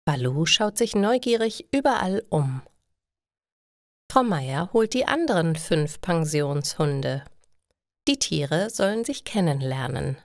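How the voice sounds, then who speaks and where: A woman's voice: low voice, no echo, studio quality. A woman reading scripted stories for language learners as a narrator. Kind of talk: slow, clearly read scripted story narration.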